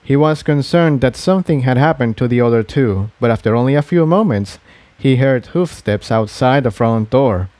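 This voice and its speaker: A man's voice: mid-range voice, lively intonation, normal speed, a little mellow, no echo. A man narrating an adventure story from a script, as a fan fiction reader.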